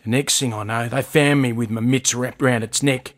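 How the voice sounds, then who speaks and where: An Australian man acting in a radio play as a rough, volatile inner-city man just out of custody, talking in terse slang. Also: medium pitch, fairly even intonation, normal speed, natural voice. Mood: confessional. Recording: music under the voice.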